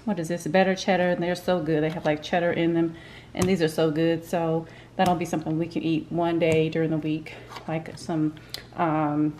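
A woman's voice: deep voice, level tone, moderate pace, a little mellow, a little hiss or hum. A woman, rambling casually through a grocery haul in her kitchen, with plenty of fillers and restarts. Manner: chatty and rambling, with many fillers and self-corrections. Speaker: vlogger. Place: home kitchen, indoors. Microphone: camera pointed at the counter, speaker off-screen.

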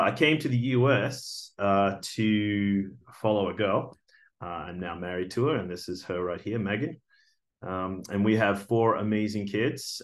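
A man in his forties with an Australian accent, earnestly introducing himself for a teaching job, with many fillers and restarts, recorded on a webcam indoors. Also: fairly low pitch. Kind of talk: video job application talk. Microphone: webcam video recording.

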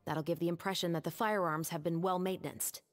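An actress voicing a cold, dignified female musketeer who gives calm, firm instructions. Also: lowish voice, a little fast, soft background music, lightly acted. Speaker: weapons instructor in a game cutscene.

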